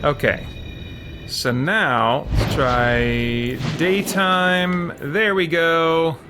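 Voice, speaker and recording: A man commentates casually on a video game he is playing. He mutters his way through decisions, thinking aloud. Music plays clearly behind his voice. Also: on the high side, steady tone, extremely slow. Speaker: let's play host.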